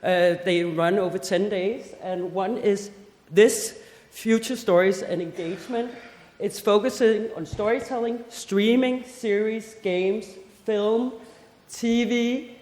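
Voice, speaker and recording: A woman in her forties from Denmark giving a conference talk, lively, with joking asides and rhetorical questions. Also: medium pitch, takes it slowly. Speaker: festival head giving a seminar talk. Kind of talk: conference presentation. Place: seminar hall. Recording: echoey hall with long reverb.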